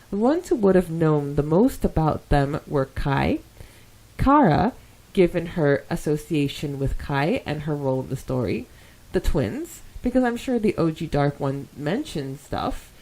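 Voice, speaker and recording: A woman with a mellow voice that sits a little lower than most. She co-hosts a casual podcast, moving between reading listener comments and adding her own asides. Her delivery is chatty, enthusiastic and prone to giggles. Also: rough recording. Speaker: podcast co-host.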